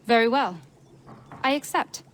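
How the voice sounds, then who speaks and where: A woman's voice: a little high, expressive, a little slow. An actress playing a proud, hardy frontier huntswoman in a video game, direct and matter-of-fact.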